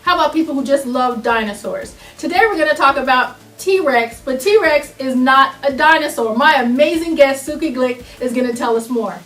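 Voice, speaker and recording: A woman's voice: high voice, normal speed, hardly any pauses, fairly bright tone, distant mic, faint music behind. A woman hosting a talk show, interviewing her guest in an upbeat, chatty way. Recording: roomy echo, voice from off mic.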